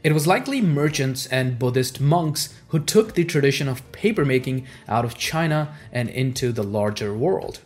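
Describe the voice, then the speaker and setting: A man whose first language is Urdu, giving a measured, scripted history narration and stressing the transition words. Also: medium pitch, expressive, faint music behind.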